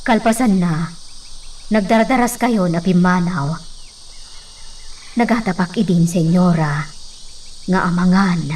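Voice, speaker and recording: A woman acts as an earnest household servant in a radio drama. Her voice is on the low side and she speaks with deference, carefully laying out what she knows. The recording sits away from the mic, with insects behind. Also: measured pace, pauses often, a little mellow, distant mic, a little hiss or hum, lightly acted. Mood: earnest, confiding. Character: respectful woman servant of a mansion, earnest confidante. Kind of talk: Ilocano radio drama acting.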